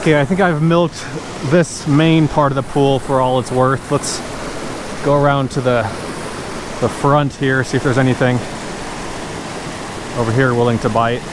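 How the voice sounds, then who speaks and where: A woman narrating casually to viewers while fishing a mountain creek, chatty and breaking into excited exclamations, recorded on a point-of-view action camera outdoors. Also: a bass voice. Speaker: fishing vlogger. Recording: loud rushing creek noise. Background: rushing stream water.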